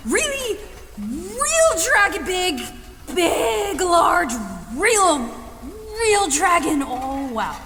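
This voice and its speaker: An eager young woman adventurer, very high and animated. She is determined and excitable, easily starstruck, heard in long reverb over clear music. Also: drawn-out words, full character voice. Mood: astonished. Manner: excitable, breathless awe. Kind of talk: audio drama acting.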